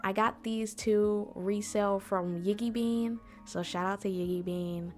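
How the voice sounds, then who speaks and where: A woman's voice: mid-range voice, steady tone, a little slow, a little mellow, soft background music. A woman hosting an informal explainer talk in a chatty, conversational way, speaking directly to her listeners. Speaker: convention panel host.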